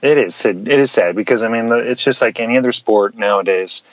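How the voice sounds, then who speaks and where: Low-voiced American man, dull dry sound. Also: fairly quick, no echo. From United States. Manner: easygoing, many fillers. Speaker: podcast guest, former player. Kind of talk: casual interview answers.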